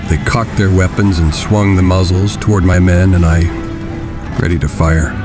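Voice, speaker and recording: A male actor as a brooding, middle-aged masked avenger with a very low voice. He is grim and deliberate, set on vengeance, in an audio drama with clear music underneath. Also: fairly even intonation, close to an everyday voice. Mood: grim, recounting. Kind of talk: audio drama narration and dialogue.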